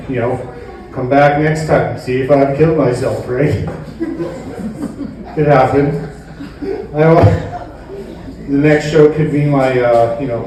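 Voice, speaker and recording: Heard from a distance in a very echoey room, a man performs stand-up. His voice is fairly high and his delivery halting and self-deprecating, with a crowd clearly audible. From Canada. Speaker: stand-up comedian. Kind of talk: live stand-up comedy. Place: small bar comedy stage. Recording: roomy echoing club, distant from mic. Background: audience noise and laughter.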